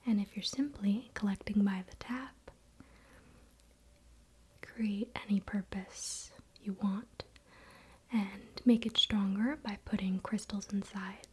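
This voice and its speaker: Asmr lady whispering soft n slow, super breathy, kinda witchy spiritual guide talk. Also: medium pitch, fairly even intonation. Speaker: ASMR host.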